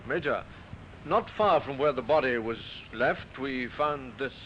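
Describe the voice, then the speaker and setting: Actor as businesslike police inspector, muffled radio. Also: male voice, medium pitch, lightly acted. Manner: measured, businesslike questioning. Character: brisk, probing male Scotland Yard inspector. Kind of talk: old-time radio drama acting. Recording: muffled, noisy old radio broadcast.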